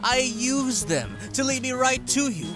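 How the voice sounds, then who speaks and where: A man voicing a gloating, mocking female pony arch-villain in a cartoon parody, with theatrical, drawn-out delivery. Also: extremely high pitch, big pitch swings, over music, heavily acted. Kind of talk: comic cartoon parody dub.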